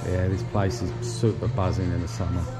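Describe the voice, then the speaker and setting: A man giving a relaxed, chatty walking tour of an outdoor shopping plaza, talking while he walks with his camera. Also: low voice, moderate pace, loud music behind the voice. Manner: relaxed, chatty, pointing things out. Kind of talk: casual walking tour narration. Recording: noisy outdoor street recording.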